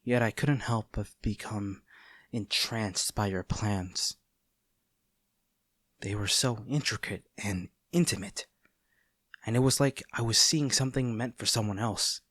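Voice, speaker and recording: Guy doing smug agent taunting his old friend, audio roleplay, really slow and measured, lowish voice, theatrical pauses. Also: fairly bright tone, no echo, clean studio sound. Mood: bitter, wistful. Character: smug, taunting male agent, villain's bitter former childhood friend. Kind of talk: dramatic scripted roleplay monologue.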